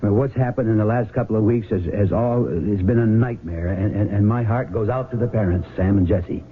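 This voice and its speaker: An actor playing an earnest, folksy small-town sheriff who speaks haltingly, in the style of a 1970s radio drama. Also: male voice, on the low side, close to an everyday voice. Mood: troubled, halting. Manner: halting, repeats himself, folksy. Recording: narrow tinny vintage radio broadcast, noisy.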